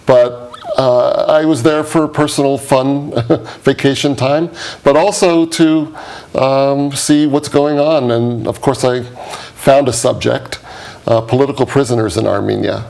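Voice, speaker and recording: A man's voice: mid-range voice, fairly even intonation, unhurried, creaky, a little mellow, low-quality recording. A man from the United States, a documentary producer appearing as a guest on a talk show, explaining his work in an easygoing, conversational way. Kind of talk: studio interview.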